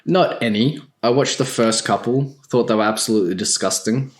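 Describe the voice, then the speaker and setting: A man's voice: medium pitch, fairly quick. A man in a casual group chat, talking in a blunt, rambling, slangy way. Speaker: podcast panelist.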